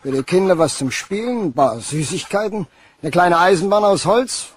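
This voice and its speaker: Lively, higher-voiced country man. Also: normal speed, breathy edge. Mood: wary, obliging. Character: plain-spoken, obliging village man with a farmyard. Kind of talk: German crime radio play acting.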